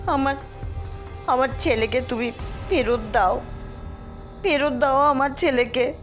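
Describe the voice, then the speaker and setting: A woman playing a desperate, hot-headed mother in an audio story, sharp-tongued and menacing with emphatic delivery. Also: high-pitched, slow pace, soft background music. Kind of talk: Bengali audio story acting.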